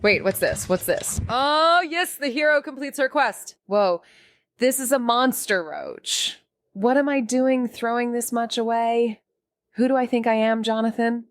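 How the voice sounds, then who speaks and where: An actress playing a sardonic, restless young small-town amateur sleuth, narrating an audio drama in slangy first person. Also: female voice, on the high side, measured pace, no echo, clean studio sound, close to an everyday voice. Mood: jokey, excited. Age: young adult. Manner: wry, sarcastic, slangy.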